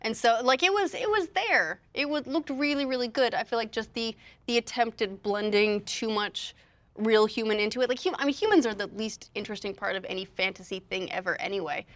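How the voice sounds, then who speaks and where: A woman's voice: fairly high pitch, brisk, no echo. A woman giving her opinions as a panelist in a casual chat.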